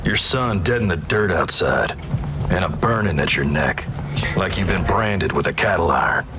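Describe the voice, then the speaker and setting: Deep-voiced male Western game narrator: folksy American drawl, terse and ominous, over loud music. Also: expressive, normal speed. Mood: grim. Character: grim, folksy Wild West male narrator, ominous storyteller. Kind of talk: scripted second-person game narration.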